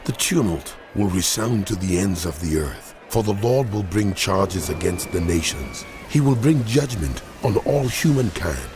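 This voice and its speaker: A man playing a wrathful, commanding God in a dramatized scripture reading, speaking solemnly and deliberately. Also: low-pitched, animated, noisy background. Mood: grave command. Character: wrathful, commanding God of Israel pronouncing judgment. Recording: music behind the voice.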